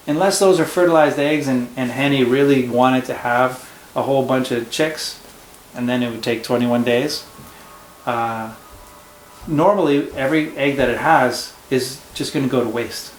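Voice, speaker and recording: A man's voice: medium pitch, steady tone, a little slow. Brief: male home teacher, patient explainer, echoey room with faint hum. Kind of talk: casual classroom discussion.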